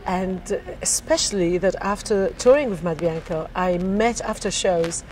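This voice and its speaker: A woman's voice: lowish voice, moderate pace, darkish tone, rough recording. Woman, Polish accent, middle-aged, chatty interview talk, laughs often, faint background hiss. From Poland. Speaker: singer interviewed. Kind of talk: casual interview chat. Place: backstage at a theater.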